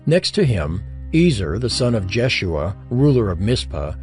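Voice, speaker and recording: A man reads scripture as a dramatic narrator. His mid-pitched voice moves expressively through solemn, measured phrases, with soft music underneath. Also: big pitch swings. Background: background music.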